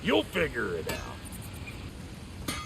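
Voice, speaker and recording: An actor playing a gruff, foul-mouthed dwarf blacksmith in a video game, with a gravelly voice, an American accent and a folksy, grumbling way of talking. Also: male voice, medium pitch, huge pitch swings, extremely slow, background noise, exaggerated voice. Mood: grumpy, irritated. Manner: folksy, crude, grumbling, colloquial. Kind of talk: video game character acting. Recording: game dialogue over background music.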